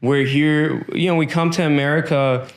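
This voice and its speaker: A man's voice: a little high, steady tone, normal speed. A man from Iran interviewing a guest, earnest and affirming, often repeating his words. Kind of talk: casual interview chat.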